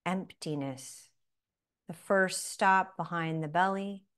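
A woman leads a guided breathing meditation in a smooth, clear voice. She speaks very slowly and softly, leaving long pauses between short phrases. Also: fairly low pitch. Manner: slow, soft-spoken, with long pauses.

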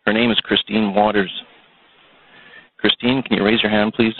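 Low, husky male air traffic controller over radio. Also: flat delivery, moderate pace, no echo. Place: airport control tower. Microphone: radio transmitter. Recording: muffled, narrow-band air traffic radio.

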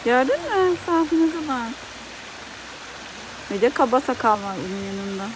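Higher-voiced woman chatting over clear background noise. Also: lively intonation, normal speed. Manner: explanatory, asks the child guiding questions. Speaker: adult guiding a child through a park. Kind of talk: casual chat with a child. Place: outdoors in a theme park. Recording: very noisy on-location recording with outdoor ambience.

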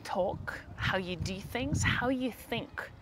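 A woman leading a guided meditation, giving unhurried instructions in short phrases with long pauses between them. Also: mid-range voice, animated, noisy background.

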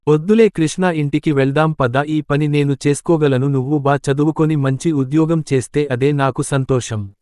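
A man playing a steadfast, proud village farmer and gentle father in a moral story. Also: fairly high pitch, steady tone, normal speed, clean tone, no room sound, studio quality, lightly acted. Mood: gentle, earnest. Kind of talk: scripted moral story dialogue.

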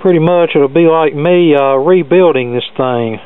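A man's voice: on the high side, normal speed, clean tone. A man giving an informal, matter-of-fact hands-on repair demonstration, explaining as he goes. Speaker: hobbyist radio repairer, presenter. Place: indoor cluttered electronics workbench. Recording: narrow phone-like sound.

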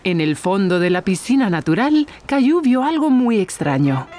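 A woman narrating a children's cartoon as a gentle storyteller for young children. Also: medium pitch, sing-song, normal speed, soft background music, lightly acted. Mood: gentle storytelling.

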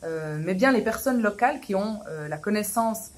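Thirties female social worker, calm measured delivery with frequent pauses. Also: mid-range voice. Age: 30s. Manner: measured, with many hesitations. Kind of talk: casual testimonial. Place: indoors, small room.

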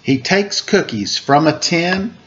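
A man in his forties reading a children's picture book aloud in a playful, expressive way, with chatty asides. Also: fairly high pitch, slow. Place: room at home, indoors. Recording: muffled webcam audio with clear background noise.

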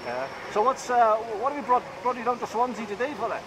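A man asks quick, friendly questions of people in a busy outdoor square, coaxing answers out of them. His voice sits high for a man. Heavy street noise runs under everything. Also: lively intonation. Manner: chatty, encouraging, quick questions. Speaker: street interviewer. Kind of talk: vox pop interviews.

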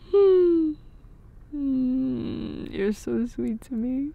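A woman playing an affectionate, playful young girlfriend in an intimate, soft-spoken ASMR roleplay, with hesitant pauses. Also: high voice, dull audio, full character voice. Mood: tender, delighted. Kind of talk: intimate ASMR roleplay, scripted but natural.

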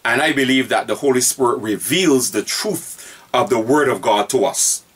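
Pastor, male, 40s, husky, deliberate repeated emphasis, recorded from a distance in a book-lined room. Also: mid-range voice. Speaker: pastor teaching Bible study. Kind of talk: earnest Bible study teaching. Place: room with bookshelves, indoors.

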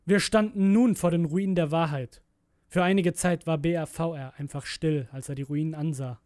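A man's voice: fairly high pitch, fairly even intonation, normal speed, a little mellow, no echo, studio quality. A man narrating a scripted horror story in a brooding, theatrical manner. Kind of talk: dramatic scripted narration of a creepypasta.

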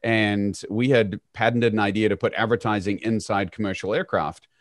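A man, a guest telling his story in a relaxed, conversational way with frequent fillers, on a video call from a small home office. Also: on the low side, normal speed, no echo. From New Zealand. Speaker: guest, real estate CEO. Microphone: studio mic on boom arm, video call.

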